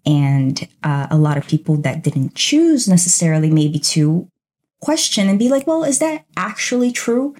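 Husky, warm-voiced woman from Brazil. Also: fairly low pitch, normal speed. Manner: reflective, animated, with frequent fillers like "you know". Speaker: podcast co-host. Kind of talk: casual conversational podcast chat.

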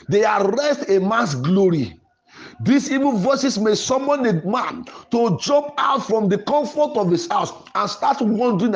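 A preacher delivering a sermon in an emphatic, declarative way, repeating phrases for emphasis. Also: mid-range voice. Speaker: preacher and podcast host.

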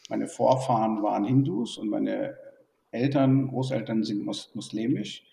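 Thoughtful gravelly man from Burma, roomy. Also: mid-range voice, a little slow, dark tone, distant mic. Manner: thoughtful, hesitant with many fillers. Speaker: interview guest, engineer. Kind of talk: reflective conversational interview. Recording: echoey room, off-mic.

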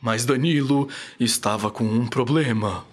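A man's voice: mid-range voice, measured pace. A young Brazilian man narrating a scripted children's radio story in a dramatic storytelling style. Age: young adult. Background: music.

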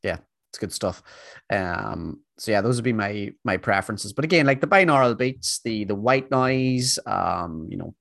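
A man co-hosting a podcast, talking in a relaxed, meandering way full of ums and restarts. His voice sits fairly low. The sound is dry and close, with no room around it. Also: moderate pace, vocal fry, dry recording. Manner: rambling, with many fillers and restarts. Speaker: podcast co-host. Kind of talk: casual chat.

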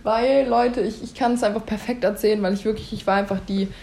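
A young woman in a casual chat, telling a story in a lively way and laughing often. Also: mid-range voice, fast talker, creaky.

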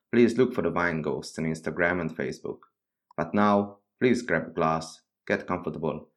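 A man with a fairly low voice hosts a podcast. He is a sommelier who speaks in both a prepared presenting style and casual interview talk. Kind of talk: scripted podcast introduction, then casual interview.

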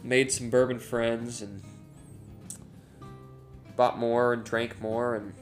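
A man's voice: mid-range voice, level tone, slow pace, soft background music. Laid-back young man rambling casually. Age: 20s. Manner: rambling, laid-back, frequent ums and self-corrections. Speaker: podcast co-host. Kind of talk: casual chat. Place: house, indoors.

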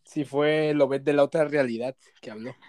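A man's voice: medium pitch, fairly even intonation, normal speed, darkish tone, no echo, clean studio sound. A young man with a Mexican accent in casual, joking podcast chat, playful and full of slang. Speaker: podcast co-host.

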